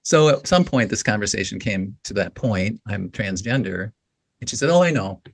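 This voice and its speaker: Man, 50s, deep gravelly voice, halting, restarts often, reflective guest, dry close sound. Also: lively intonation, no echo. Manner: halting, with restarts and "you know" fillers. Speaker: guest, writing coach. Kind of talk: reflective interview talk.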